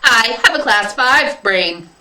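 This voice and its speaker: A woman's voice: a little high, animated, slow, roomy sound, background noise, pitched above the performer's own voice. A woman voicing a literal-minded warehouse robot that recites in a stiff robotic manner. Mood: flat, literal. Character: seed distributor, literal-minded low-class warehouse robot.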